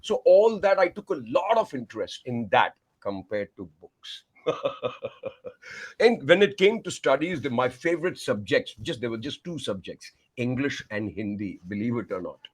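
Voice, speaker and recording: A man's voice: mid-range voice, normal speed, husky. Effusive Indian man, storytelling guest. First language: Tamil. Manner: effusive, animated storyteller who laughs often. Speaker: communication coach and guest. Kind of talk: remote podcast interview.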